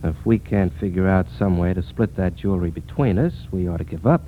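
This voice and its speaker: A man's voice: sits low, fairly quick, natural voice. An actor, a man, playing a cocky, scheming young parole jumper with slangy talk in a 1940s radio drama. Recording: narrow, noisy 1940s radio recording.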